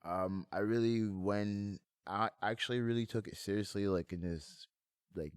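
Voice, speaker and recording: A man's voice: fairly low pitch, fairly even intonation, normal speed, clean tone, no echo, clean studio sound. A young man from France who moved to Canada as a child, chatting casually as an interview guest in slangy speech, often checking that the listener follows. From France, moved to Montreal, Canada at eight. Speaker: guest, hip hop artist. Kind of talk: casual chat, interview answers.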